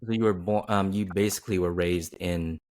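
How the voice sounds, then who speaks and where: A man hosting an interview, asking questions in halting, searching phrases with many fillers. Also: low-pitched, steady tone, a little mellow. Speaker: host.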